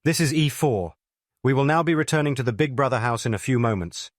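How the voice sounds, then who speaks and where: A man speaks as a television continuity announcer in a very dry recording. His medium-pitched voice delivers scripted emergency news in a formal, measured way. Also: lively intonation, dry recording.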